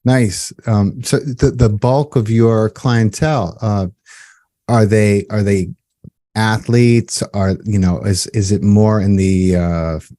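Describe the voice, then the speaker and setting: A man's voice: fairly low pitch, fairly even intonation, slow, mellow, no room sound. A man hosting a podcast in casual chat, laid-back and slangy as he introduces and questions his guest. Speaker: podcast host.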